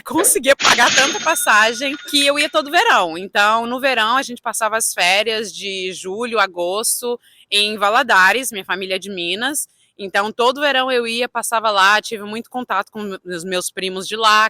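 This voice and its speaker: Young woman chatting away, bright voice, dry close sound, kinda high. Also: moderate pace, few pauses, no echo. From Canada (Toronto). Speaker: interviewee, real estate agent. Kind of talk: casual interview chat.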